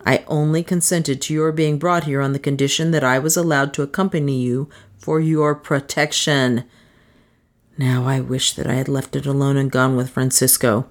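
A woman's voice: extremely low pitch, flat delivery, normal speed. A woman reading an audiobook as a solemn, proud man with a grave, formal manner. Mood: enraged, bitter. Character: solemn, proud adventurer man, protective but stern. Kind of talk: dramatised audiobook dialogue.

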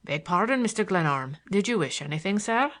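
A woman voicing a sly, imperturbable manservant in an audiobook, speaking in a formal, measured, obsequious manner. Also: mid-range voice, animated, a bit bright, lightly acted. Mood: formal, composed.

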